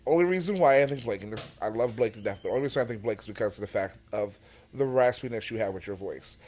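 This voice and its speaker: A man's voice: on the low side, fast, darkish tone. A man hosting a podcast interview, asking questions with lots of fillers and agreeing often. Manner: affirming, filler-heavy questions. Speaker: podcast host. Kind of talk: casual interview chat.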